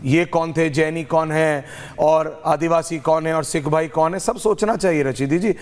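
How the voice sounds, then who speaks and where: A man's voice: fairly high pitch, moderate pace. A man hosting a heated TV news debate, confrontational and insistent, repeating phrases for emphasis and slipping into English, with a strained sore throat. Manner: mixes English phrases into Hindi. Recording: echoing hall-like reverb.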